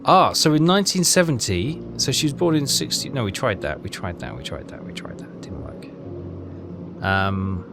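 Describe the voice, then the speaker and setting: A man's voice: on the low side, sing-song, moderate pace, creaky, background noise. A man giving casual game commentary and reading text aloud, hesitant and repeating himself as he thinks aloud. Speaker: let's player. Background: game music.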